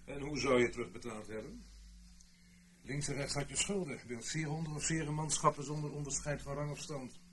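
A man's voice: a little high, moderate pace, fairly bright tone, close to an everyday voice. A man playing a blunt, bitter former army comrade who accuses, in a Dutch radio play. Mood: cold, accusing. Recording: noisy old radio play recording.

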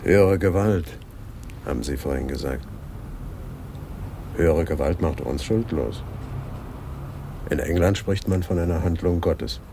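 Matter-of-fact detective in radio crime drama, dark low voice, faint noise behind. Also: male voice, a little slow, pauses often. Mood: sober. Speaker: actor in a radio play. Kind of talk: 1980s German radio crime drama acting.

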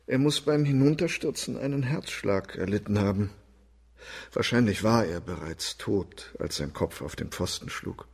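An actor playing an assertive, learned doctor in a radio crime play, formal and argumentative, with a husky voice. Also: male voice, lowish voice, moderate pace, a little mellow. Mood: defensive, insistent.

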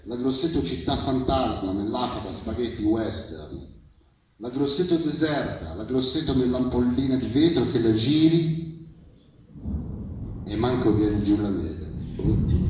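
A man's voice: mid-range voice, a little mellow. A man performing spoken-word poetry at a live reading, in an incantatory manner built on repeated refrains. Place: live public reading, heard from a distance. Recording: very echoey, distant live recording.

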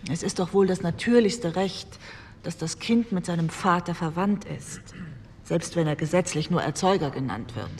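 A woman acting as an earnest female deputy of the postwar years. She argues in measured, formal phrases, with long hall reverb and faint noise around her. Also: on the low side, a little mellow, close to an everyday voice. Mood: earnest, pleading. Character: earnest female parliamentarian pleading for children's rights. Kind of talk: re-enacted parliamentary speech.

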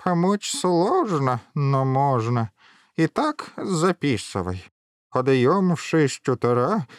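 Cranky eccentric old witch played by a man, high croaky voice, animated nagging, dry storybook recording. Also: takes it slowly, no echo. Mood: bossy, scolding. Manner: grumpy, scolding, blunt.

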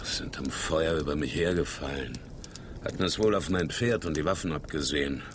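An actor playing a tough, big frontiersman in his fifties in a German radio drama, measured and courteous yet menacing. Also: extremely low pitch, a little hiss or hum. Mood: courteous, grim. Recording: music bed behind dialogue.

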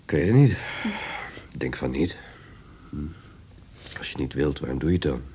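An actor in a naturalistic radio drama playing a sardonic, blunt, teasing husband. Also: male voice, extremely low pitch, animated, normal speed, warm tone, a little hiss or hum. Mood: drowsy, questioning.

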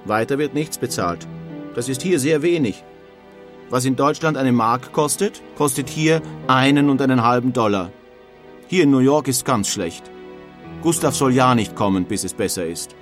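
Actor as a disillusioned German emigrant of the 1800s. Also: male voice, medium pitch, normal speed, soft background music, lightly acted. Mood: sober, warning. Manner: formal, old-fashioned wording. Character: disillusioned 19th-century German emigrant man writing letters home. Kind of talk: dramatic reading of historical letters.

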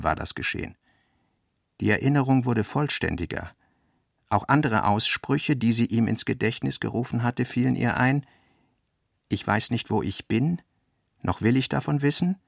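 Low, creaky man narrating literature. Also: a bit bright, no echo, studio quality. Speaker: narrator of a literary radio play.

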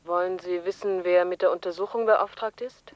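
A woman playing a police colleague in a radio drama, reporting in with a brisk, matter-of-fact manner. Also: lowish voice, fairly even intonation, dry recording, lightly acted. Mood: concerned. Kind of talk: German radio drama acting. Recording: dull, band-limited call.

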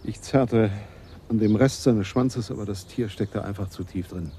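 Mellow-toned, excitable police constable. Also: male voice, on the low side, measured pace, a little hiss or hum. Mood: earnest. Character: blunt, excitable police constable at a fire scene. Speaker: actor in a radio play. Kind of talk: German radio drama dialogue.

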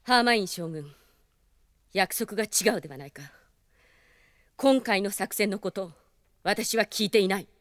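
An actress playing a proud, honorable young princess commander in a radio drama, with stern, formal, knightly speech. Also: female voice, medium pitch, a little slow, long pauses, no room sound, studio quality, natural voice. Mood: stern, indignant.

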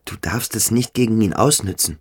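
A man's voice: on the low side, normal speed, breathy edge. A man playing a sensitive, inquisitive young military-school cadet in a German radio drama, speaking in a hesitant, probing way. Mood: anxious probing.

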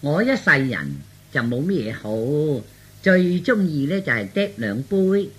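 A man's voice: fairly high pitch, big pitch swings, measured pace, fairly bright tone, breathy edge, full character voice. A man playing a genial, hospitable older father in a radio play, effusive and polite. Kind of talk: Cantonese radio play storytelling.

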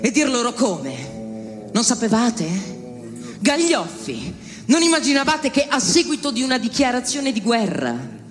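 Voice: medium pitch, close to an everyday voice. A theatrical accuser , as performed by an actor, declaims with outrage and bitter scorn, pressing one rhetorical question after another. The voice rings in a long hall reverb, with music underneath. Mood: scornful, indignant. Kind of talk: theatrical dramatic monologue.

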